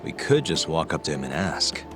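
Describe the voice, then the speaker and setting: An actor playing a calm, determined detective in a video game cutscene, speaking in a cool, measured, direct manner. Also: male voice, extremely low pitch, over music. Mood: cool, matter-of-fact. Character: calm, determined male detective planning a stakeout.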